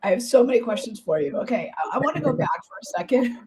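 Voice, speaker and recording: A woman hosting a podcast interview, her voice hoarse and partly gone, talking in a bubbly, enthusiastic, chatty way. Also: a little high, sing-song, quick pace, crowd noise. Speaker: podcast host.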